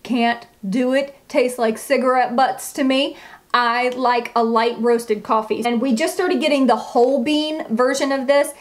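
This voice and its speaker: A woman chatting casually as a beauty vlogger, gushing and emphatic, with a slightly froggy voice. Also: on the high side. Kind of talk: casual chatty product review to camera.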